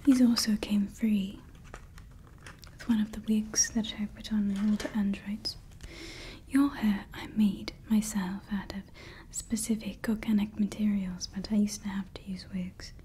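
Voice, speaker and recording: A woman's voice: medium pitch, flat delivery, a little hiss or hum, lightly acted. A woman whispering as a scheming evil scientist. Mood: conspiratorial whisper. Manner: soft unvoiced whisper; slow, intimate, conspiratorial ranting. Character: scheming evil woman scientist, self-styled "Mother" to her android. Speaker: ASMR creator. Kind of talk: ASMR roleplay.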